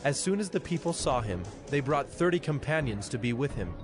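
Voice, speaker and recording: A man's voice: medium pitch, animated, fairly quick. Male scripture narrator over music.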